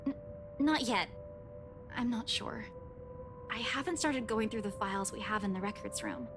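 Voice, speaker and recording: An actress playing a prickly but caring young office worker in a visual novel, wry and insistent. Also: female voice, mid-range voice, normal speed, lightly acted. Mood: hesitant, firm. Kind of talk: visual novel voice acting, dialogue. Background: music.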